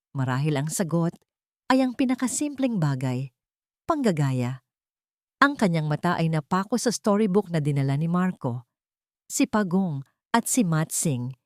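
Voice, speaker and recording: A woman's voice: low-pitched, a little mellow, dry recording, clean studio sound. A woman narrator reading a scripted, dramatic story.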